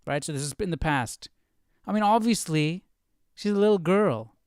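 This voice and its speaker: A man's voice: sits high, animated, moderate pace, darkish tone, smooth, no room sound, clean studio sound. A man hosting a live Bible study, thinking aloud in casual commentary and puzzling through questions as he goes.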